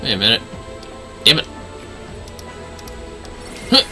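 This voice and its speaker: A man's voice: slow pace, sharp tone, soft background music. A man livestreaming a video game with casual running commentary.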